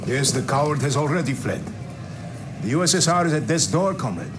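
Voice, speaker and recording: An actor playing a stern, sceptical Soviet general in a video game, gruff. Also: male voice, fairly high pitch, lively intonation, a little fast, natural voice. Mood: grim. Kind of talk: cinematic video game acting. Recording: loud music behind.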